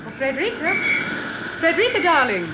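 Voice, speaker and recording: An actress playing a haughty, selfish wife and séance medium, measured and condescending in old-time radio drama style. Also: female voice, high voice, sing-song. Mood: silky friendliness. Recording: muffled, faintly noisy old radio recording.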